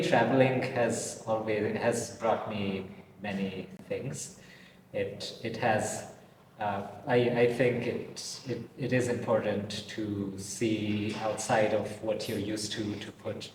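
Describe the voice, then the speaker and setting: A man's voice: fairly low pitch, a little mellow. A man from Bangladesh, a guest artist in a casual interview, who speaks hesitantly with many fillers and restarts in an old building. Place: old pre-war building in Poland. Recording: distant mic, long reverb, noisy ambience.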